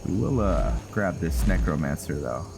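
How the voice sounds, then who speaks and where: A man's voice: rumbling low, animated, moderate pace, music in the background. A man giving casual commentary on a roleplay game, rambling and thinking aloud as he plays. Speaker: gaming channel host. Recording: clearly noisy background.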